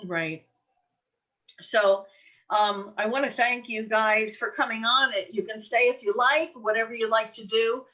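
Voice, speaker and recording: Older lady cohost, bright voice, gushy and chatty, just casual talk. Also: on the high side, steady tone, a little slow, highs cut off. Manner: chatty, effusive. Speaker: podcast co-host and producer. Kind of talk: casual chat.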